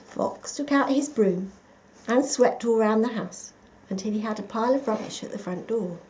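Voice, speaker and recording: A woman reading a children's picture book aloud, with expressive, dramatic emphasis for young listeners. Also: on the high side, moderate pace, slight background noise, low-quality recording. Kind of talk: expressive scripted story narration.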